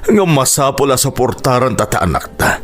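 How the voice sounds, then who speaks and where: Casting: male actor as anxious older father, devout and consoling, halting emotional lines, very animated mid-range voice, radio drama. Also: medium pitch, natural voice. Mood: grieving, resolute. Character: worried elderly husband and father, devout, consoling. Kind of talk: Ilocano radio drama acting.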